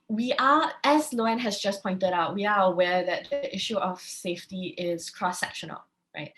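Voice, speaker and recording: A woman's voice: medium pitch, fairly bright tone. A woman moderating a webinar panel over a video call, hesitant and often restarting her phrases.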